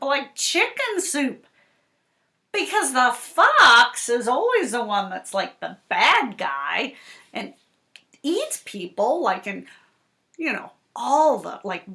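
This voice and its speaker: High, animated woman teaching young children. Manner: expressive, asks the listeners questions and answers them. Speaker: school librarian reading to children. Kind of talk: animated picture-book read-aloud and discussion for children.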